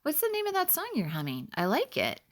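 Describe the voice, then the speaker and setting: A woman's voice: high voice, huge pitch swings, fairly quick, pitched above the performer's own voice. A woman voicing a kindly small ladybug in a children's storybook, sweet and appreciative. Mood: curious. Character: kindly, weary small ladybug who likes a tune.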